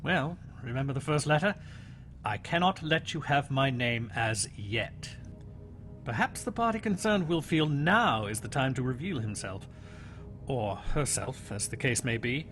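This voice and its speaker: An actor playing a dry, methodical police inspector in a period radio crime drama, deliberate and authoritative. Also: male voice, medium pitch, expressive, fairly bright tone, soft background music.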